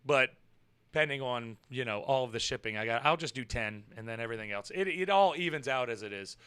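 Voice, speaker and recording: A man hosting a casual livestream, hoarse from yelling and talking in a rambling, swearing, chatty way with loud bursts of excitement. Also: medium pitch, a little fast. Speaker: livestream show host. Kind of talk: casual livestream chat with viewers.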